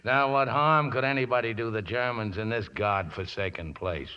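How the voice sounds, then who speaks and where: A rough middle-aged riverboat skipper, played by a man, folksy and halting, with sloppy grammar. The pitch sits in an ordinary male range, and the vintage radio recording is dull and muffled. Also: lightly acted. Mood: casual. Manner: folksy, hesitant, ungrammatical. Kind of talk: 1950s radio drama acting.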